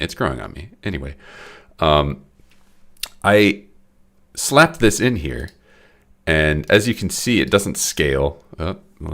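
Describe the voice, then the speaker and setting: A young man with a very low voice, audibly congested and stuffy. He rambles through a late-night coding stream in casual, self-deprecating talk full of restarts. He speaks into a desk mic at home. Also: a bit bright. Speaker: game developer streaming his work. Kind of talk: casual live-stream commentary.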